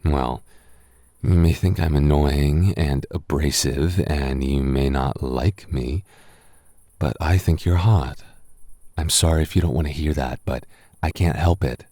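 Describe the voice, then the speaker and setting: A low, close and dry voice: a man playing a tipsy young male roommate in a boyfriend roleplay audio. He talks casually but stammers and gets defensive, hesitating as he hides his crush. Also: a little slow, mellow, no room sound, full character voice. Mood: tender confessing.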